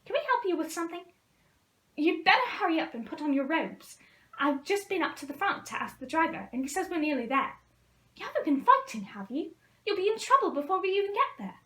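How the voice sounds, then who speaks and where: A woman's voice: very high voice, sing-song, brisk, fairly bright tone, reverberant, voice pushed up, full character voice. A woman voicing a bossy, sniffy young schoolgirl witch in a storybook reading. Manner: sniffy, prim, hectoring.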